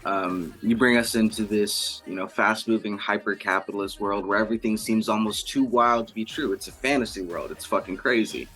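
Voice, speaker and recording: A man's voice: lowish voice, a little fast, hardly any pauses, fairly bright tone, husky, low-quality recording. A man interviewing a musician, asking wordy, descriptive questions in a prepared but informal way.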